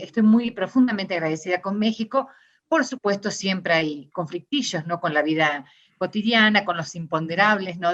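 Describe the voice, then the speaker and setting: A middle-aged Argentine woman with an Argentine Spanish accent, answering interview questions in a thoughtful, searching way with many pauses and restarts. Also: lowish voice, a bit bright, muffled recording. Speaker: interviewee, discourse analysis scholar. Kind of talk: reflective conversational interview.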